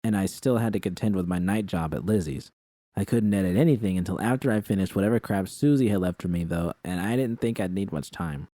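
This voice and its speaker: A man's voice: low voice, a little fast, warm tone, no echo. A man reading scripted first-person fiction as an audiobook narrator.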